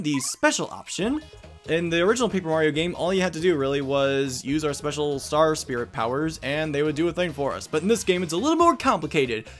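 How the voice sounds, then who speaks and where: A man's voice: on the high side, a bit bright. A man giving playful video game commentary as a Let's Play host, with jokey, self-deprecating asides. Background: game music.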